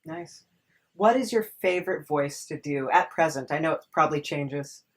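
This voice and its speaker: A woman's voice: sits low, animated, normal speed. Hesitant woman hosting a casual interview podcast. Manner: hesitant, encouraging.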